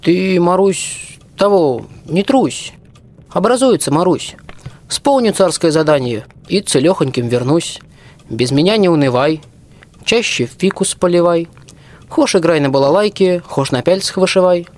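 An actor playing a loyal, plain-spoken young archer in a rhymed fairy-tale radio play. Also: male voice, high voice, expressive, unhurried, darkish tone. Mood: reassuring. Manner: folksy rhymed speech.

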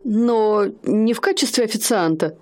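An actress playing a haughty young woman posing as a high-society lady, speaking in an affected, aloof manner in a radio play. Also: animated, a little slow, a little mellow.

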